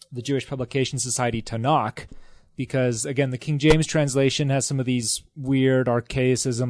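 A man's voice: medium pitch, a little mellow, no room sound, studio quality. A man in a casual scripture study discussion, talking with enthusiasm, rambling, with lots of fillers and restarts.

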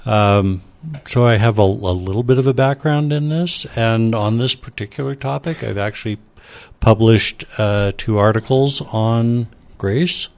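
A man who is a scholar and panel guest, explaining in a measured way with frequent fillers. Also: lowish voice, gravelly, a little mellow. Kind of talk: panel discussion.

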